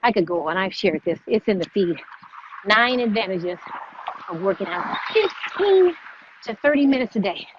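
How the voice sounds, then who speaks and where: A woman's voice: mid-range voice, sing-song, normal speed. A woman hosting a motivational live vlog, speaking emphatically and repeating phrases for stress. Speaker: vlog host, fitness motivator. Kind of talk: motivational livestream chat. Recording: muffled, noisy live-stream audio.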